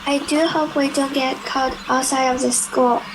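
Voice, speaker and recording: A woman's voice: high-pitched, level tone, normal speed. A girl of ten or eleven, playing an anxious, well-mannered schoolgirl in a radio play. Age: child, 10 to 11. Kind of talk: children's radio play acting. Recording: noisy home video-call recording.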